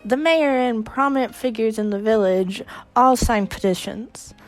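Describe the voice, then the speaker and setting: Brash teenage girl, played by a woman, boastful and excitable, visual novel drama over music. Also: fairly high pitch, unhurried, close to an everyday voice, rough recording. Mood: proud, excited.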